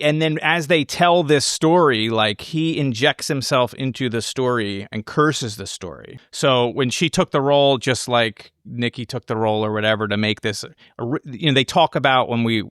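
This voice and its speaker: A man's voice: mid-range voice, normal speed, hardly any pauses, a bit bright, clear, resonant tone, no echo, clean studio sound. A man hosting a casual film discussion, thinking aloud in rambling, speculative explanations. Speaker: podcast host analyzing a film.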